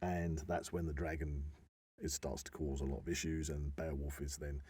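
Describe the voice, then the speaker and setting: A man's voice: a bass voice, little pitch movement, normal speed, warm tone, husky, no room sound. A man explaining things enthusiastically in a casual podcast chat, often wandering into asides as he tells the story. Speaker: podcast host.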